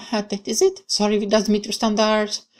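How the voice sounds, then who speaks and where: A woman voicing a playful, frank, soft-hearted young woman in a visual novel game. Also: medium pitch, little pitch movement, moderate pace, pitched above the performer's own voice, lightly acted. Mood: apologetic.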